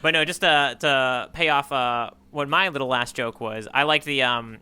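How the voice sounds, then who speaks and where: Bright, clear-voiced man chatting on a podcast. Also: mid-range voice, moderate pace. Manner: jokey, playful riffing. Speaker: podcast co-host. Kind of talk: casual chat on a manga readalong podcast.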